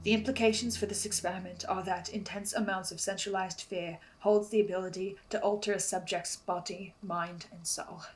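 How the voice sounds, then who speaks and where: A woman's voice: medium pitch, fairly even intonation, moderate pace, few pauses, slight background noise. A woman narrating a scripted analysis as host, speaking earnestly and theatrically with precise wording. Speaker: host of a fan theory video series.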